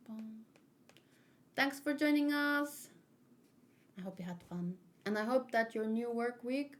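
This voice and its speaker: Slow-paced, higher-voiced woman streamer. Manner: excitable, playful, expressive. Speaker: streamer hosting a manga read-along. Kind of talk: casual livestream chat mixed with animated read-aloud.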